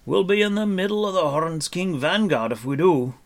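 A man voicing a gruff, curt male dwarf in a storybook, with a growling, grunting delivery. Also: sits high, lively intonation, moderate pace, voice pushed up. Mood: gruff, blunt. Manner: curt, blunt. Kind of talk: audiobook character voice.

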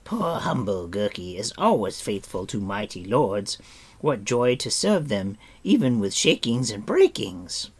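A man's voice: fairly low pitch, big pitch swings, a little slow, husky, full character voice. A man voicing a whimpering, servile wild creature in an audiobook, fawning and pitying himself in the third person. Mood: fawning, pleading. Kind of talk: audiobook character voice.

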